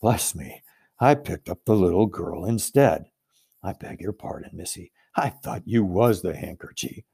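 A man voicing a huge, polite, bumbling male giant with a gruff, rustic way of speaking. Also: low voice, expressive, unhurried, warm tone, pitched below the performer's own voice, full character voice. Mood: apologetic.

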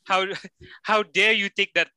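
A man's voice: sits high, steady tone, unhurried, heavy background noise. A man in casual chat who tells stories while laughing often. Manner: giggly storyteller, laughs often.

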